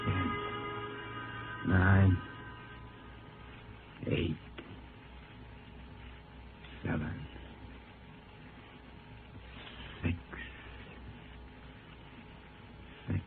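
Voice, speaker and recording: An actor playing a distraught rocket pilot, urgent and halting, in a mid-century radio drama. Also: male voice, deep voice, fairly even intonation, gravelly, over music, full character voice. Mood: drowsy. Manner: urgent, halting, breathless pleading. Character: distraught male rocket pilot, desperately pleading his warning. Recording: tinny, noisy old radio broadcast.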